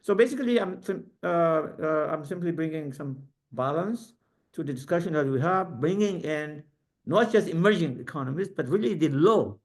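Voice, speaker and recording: Man, fairly high voice, panelist, halting speech, frequent fillers, video call. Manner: halting, many fillers and restarts. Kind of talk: academic webinar remarks.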